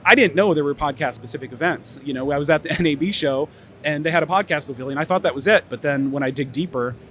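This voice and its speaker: A man's voice: fairly high pitch, fast, fairly bright tone, a little hiss or hum. A man presenting at an audio trade expo in a casual conference-talk manner, voice a bit tired from many interviews, with lots of fillers and restarts. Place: expo stage.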